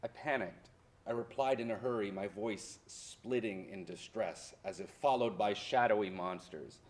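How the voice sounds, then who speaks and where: An actor playing a history professor, the grown son of deported immigrants, reminiscing in a theatrical monologue that swings from hushed to loud. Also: male voice, on the low side, normal speed, lightly acted. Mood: tense, hushed. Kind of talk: dramatic monologue in a staged play reading.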